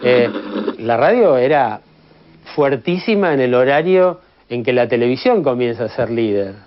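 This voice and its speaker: A man's voice: mid-range voice, lively intonation, normal speed, slight background noise. A man with an Argentine accent explaining informally, repeating phrases for emphasis. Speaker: commentator on radio history. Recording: slightly dull, band-limited sound.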